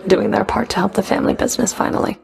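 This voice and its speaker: A woman's voice: mid-range voice, steady tone, racing, vocal fry, darkish tone. A woman playing a stern, sardonic underworld Fury in a video game, speaking in a cool, dry, clipped way. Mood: dry, teasing. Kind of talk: video game character voice acting.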